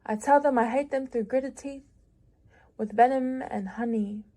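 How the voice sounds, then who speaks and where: A young woman reads her own lyrical writing aloud, taking her time with each phrase. Her voice sits somewhat high, and her delivery is unhurried, measured and reflective, with long pauses between lines. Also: fairly even intonation, warm tone. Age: young adult. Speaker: prize-winning young writer.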